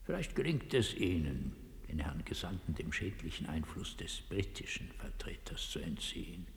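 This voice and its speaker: A cold, scheming dictator in a radio drama. His low voice creaks as he issues curt, imperious orders. The sound rings in a reverberant hall. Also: very animated, normal speed, exaggerated voice. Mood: scheming, commanding. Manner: terse, imperious questioning. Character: cold commanding Latin American dictator, scheming and disdainful. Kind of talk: radio play acting.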